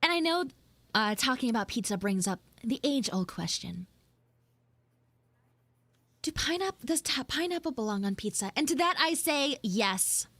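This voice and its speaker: A woman's voice: high voice, expressive, moderate pace, pauses often, fairly bright tone, no room sound, exaggerated voice. A woman voicing a flustered, shyly smitten tsundere schoolgirl in a live audio roleplay, hesitant and coyly defensive. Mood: flustered, chatty.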